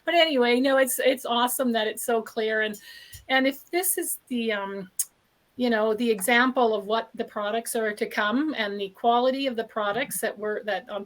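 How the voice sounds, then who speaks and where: A woman's voice: fairly high pitch, low-quality recording. Upbeat woman with a voice worn by illness. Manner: upbeat, earnest, many ums. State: voice going from illness. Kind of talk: casual testimonial on a group call.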